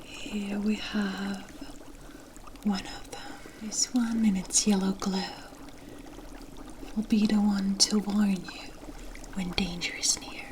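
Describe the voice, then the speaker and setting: A woman voicing a mysterious, wry forest witch in a hushed, deliberate ASMR fantasy roleplay, with cryptic storybook phrasing. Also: mid-range voice, flat delivery, background noise, natural voice. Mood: solemn, mysterious. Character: mysterious forest witch, wry, knowing, offering protection for favors.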